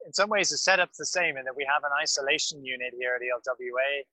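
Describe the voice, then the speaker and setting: Male doctor guest recounting field work, even and measured, forceful bright voice, close dry mic. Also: medium pitch, no echo, low-quality recording. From grew up in West Africa. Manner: calm, measured storytelling with fillers. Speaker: pediatric doctor. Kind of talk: podcast interview, recounting experience.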